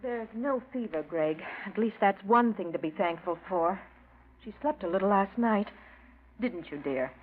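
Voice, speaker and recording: An earnest American female voice of medium pitch an actress plays an anxious, self-sacrificing young fiancée. She pleads gently in old radio drama style, through a thin, phone-like vintage recording. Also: animated, normal speed, a little hiss or hum, natural voice. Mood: concerned, reasoning. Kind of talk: 1940s radio drama acting.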